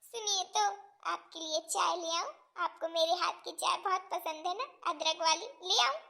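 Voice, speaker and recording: A woman's voice: very high-pitched, moderate pace, gravelly, pushed and bright, full character voice. A woman playing a devoted, deferential Indian housewife in an amateur family skit. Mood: hurt. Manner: pleading, deferential.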